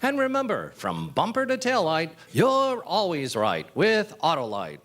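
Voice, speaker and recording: A man playing an old-time radio announcer, giving a polished sales pitch in a 1950s-style commercial. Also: wildly swooping pitch, a little slow, over loud music. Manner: polished, smooth sales patter.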